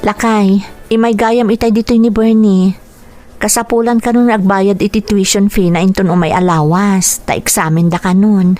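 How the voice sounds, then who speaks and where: Woman acting as worried mother, Ilocano accent, mid-range pitch, plaintive, concerned, radio drama. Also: steady tone, moderate pace, mellow, lightly acted. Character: anxious, grateful mother of a struggling poor family.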